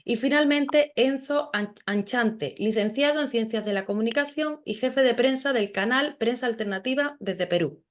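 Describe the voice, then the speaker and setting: A woman moderating a panel discussion, reading out guest introductions in a formal, measured way with small restarts. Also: a little high.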